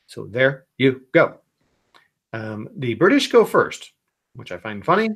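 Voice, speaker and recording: A man hosting a board game stream, calmly explaining the rules with lots of ums, talking into a computer mic. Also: mid-range voice, slightly rough. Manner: unhurried, many ums, thinks aloud over rules. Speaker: wargaming stream host. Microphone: computer mic at his desk.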